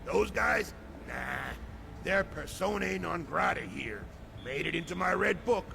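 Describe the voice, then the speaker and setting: Here is a gruff space barkeep from a video game. He sounds high and heavily gravelled, brushing people off, with clear noise in the background. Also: expressive, measured pace, heavily acted. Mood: dismissive. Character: gruff male space-bar keeper, dismissive.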